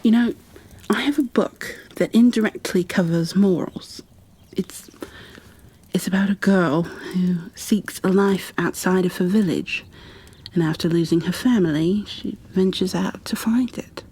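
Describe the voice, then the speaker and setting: Woman acting a soft, breathy, bookish creature bookkeeper. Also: lowish voice. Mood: shy, rambling. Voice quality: soft-spoken, breathy half-whisper. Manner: hesitant, apologetic, halting, careful. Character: gentle, shy, bookish female creature bookkeeper, peace-loving and kindly. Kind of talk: ASMR roleplay.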